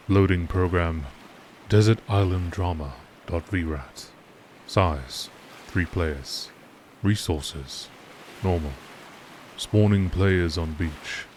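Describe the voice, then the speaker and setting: A man reading game lore as scripted nature-documentary narration, in a measured, deliberate and formal manner. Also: sits low, darkish tone, a little hiss or hum.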